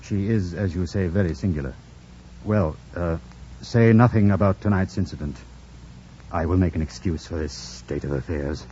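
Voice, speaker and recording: Man acting gruff gentleman, very low voice, brooding, commanding, formal radio-drama delivery, dull vintage recording with faint noise. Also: normal speed, natural voice. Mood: guarded. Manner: formal, theatrical, commanding phrasing.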